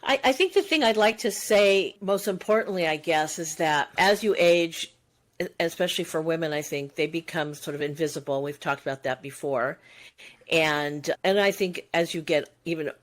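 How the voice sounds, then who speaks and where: A woman's voice: sits low, normal speed. A woman in her sixties co-hosting a podcast, talking in a reflective, musing way with long winding sentences. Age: 60s. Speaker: podcast co-host. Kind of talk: casual conversational chat.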